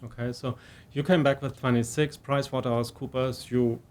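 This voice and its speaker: A man's voice: medium pitch, flat delivery, brisk. A middle-aged man hosting an interview, asking questions with many fillers and restarts. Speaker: interviewer, university president. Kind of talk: conversational interview.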